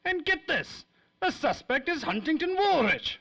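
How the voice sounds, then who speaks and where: An actor playing an urgent police dispatcher in a 1930s-style radio crime drama, speaking with excited emphasis. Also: male voice, extremely high pitch, unhurried, gravelly, full character voice, poor audio.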